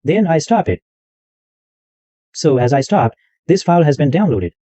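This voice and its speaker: A man hosting a screen-recorded software tutorial, narrating every click step by step in loose, repetitive wording. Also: on the high side, sing-song, moderate pace, dry recording. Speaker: tutorial host. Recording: dull lo-fi dry mic sound.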